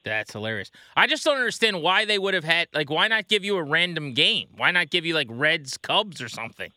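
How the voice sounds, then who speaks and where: An American man with a high, very bright and forceful voice and lively intonation. He hosts a casual sports interview, jumping in with questions and reactions, in a dry studio-close sound. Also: fairly quick, dry recording. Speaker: show host, interviewer.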